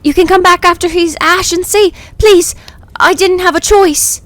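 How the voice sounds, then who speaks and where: A woman's voice: very high-pitched, steady tone, normal speed, a bit bright, no room sound, voice pushed up. A woman voicing a starving, desperate, exhausted castaway woman in a dramatic story, pleading and frantic.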